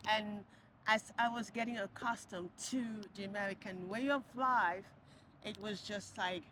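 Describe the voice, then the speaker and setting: A woman's voice: medium pitch, steady tone, measured pace, bright, forceful tone, slight background noise. A woman, speaking in a casual street interview with many restarts and fillers, thinking aloud as she talks. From Cameroon. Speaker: interviewee, passerby. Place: outdoors on a city street.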